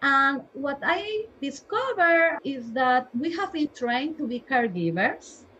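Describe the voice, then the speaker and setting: A woman's voice: sits high, animated, unhurried, pushed and bright, highs cut off, slight background noise. A woman in her forties from Peru with a Peruvian Spanish accent, a physician guest speaking earnestly and emphatically in a podcast interview.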